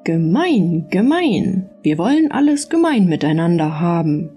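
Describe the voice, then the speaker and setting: A woman's voice: on the low side, sing-song, measured pace, dark tone, soft background music. A woman voicing a simple, chatty, boastful Swabian man in a folksy fairy-tale audiobook. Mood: boastful, excited.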